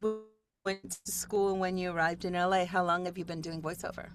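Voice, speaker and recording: A woman host and voiceover coach running a casual interview, friendly, with quick affirmations and frequent laughs. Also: on the low side, little pitch movement, music in the background. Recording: audible background noise.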